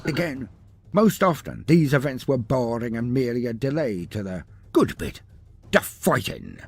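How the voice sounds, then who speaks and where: A man's voice: mid-range voice, very animated, moderate pace, gravelly, darkish tone, husky. A man narrating a scripted story in a dramatic, grandiose, theatrical manner.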